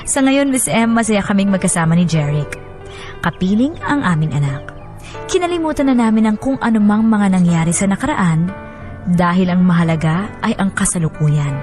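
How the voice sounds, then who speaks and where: A woman radio host reading listener stories and messages in an expressive, sentimental way. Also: mid-range voice. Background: music bed.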